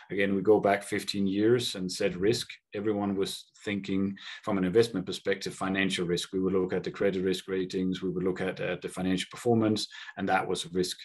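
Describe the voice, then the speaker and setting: Male conference speaker, Scandinavian accent, deep husky voice, halting delivery. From Denmark and Sweden. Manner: many fillers and repeated words. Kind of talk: conference presentation. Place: video link from Colombia.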